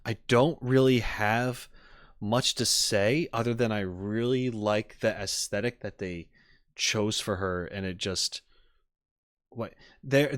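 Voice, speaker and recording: Casting: male streamer, lowish voice, very unhurried, rambling casual reaction commentary with halting rephrasing. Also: clear, resonant tone, no room sound, studio quality. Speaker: streamer reacting to game trailers.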